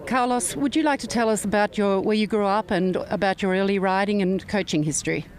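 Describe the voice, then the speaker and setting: A woman interviewer asks polite, clearly worded questions. Her pitch sits in the middle range for a woman, and she is recorded outdoors. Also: fairly quick.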